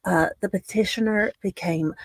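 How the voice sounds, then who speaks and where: A woman reading a court ruling aloud as comedy, haltingly, with exasperated asides. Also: mid-range voice, breathy edge, no room sound. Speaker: reader in a comedy livestream.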